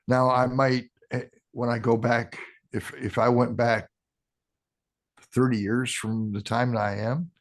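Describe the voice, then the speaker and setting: A man in his sixties, a podcast guest talking casually in a halting way, restarting sentences with ums. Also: mid-range voice, level tone. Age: 60s. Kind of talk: casual interview talk.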